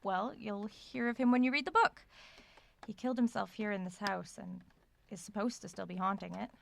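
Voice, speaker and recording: An actress playing a brittle, defensive young woman novelist in a horror audio drama, with clipped, strained delivery and a creaky, gravelly voice. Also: medium pitch, fairly even intonation, normal speed, a little hiss or hum. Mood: defiant. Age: young adult. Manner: clipped, strained replies to her mother.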